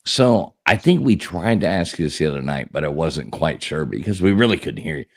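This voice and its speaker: Deep-voiced guy hosting a chill chat, jokey, swings his voice all over. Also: big pitch swings, fairly quick. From not from Texas. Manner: joking, teasing banter. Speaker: host. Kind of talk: casual live chat.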